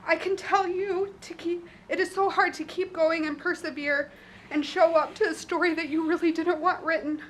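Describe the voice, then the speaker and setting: Earnest Chicago-accented woman, 30s, high voice, prepared remarks at a podium mic, faint ambience. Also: fairly even intonation, normal speed, slight background noise, low-quality recording. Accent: Northern US (Chicago). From Chicago, USA. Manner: earnest, emotional. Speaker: public commenter. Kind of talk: prepared public statement. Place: county courtroom.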